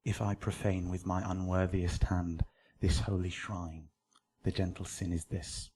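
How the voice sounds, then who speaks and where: An actor playing an ardent young romantic lover, delivering measured Shakespearean verse in a radio drama. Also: male voice, deep voice, flat delivery, dark tone, slight background noise. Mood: tender.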